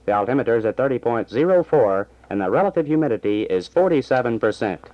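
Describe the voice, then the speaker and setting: A dull, lo-fi vintage AM recording carries a male newsreader with a mid-pitched voice. He delivers scripted bulletins in a formal, measured 1960s announcer style. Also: expressive. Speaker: radio newsreader and station announcer. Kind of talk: 1960s scripted radio news reading. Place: radio station studio.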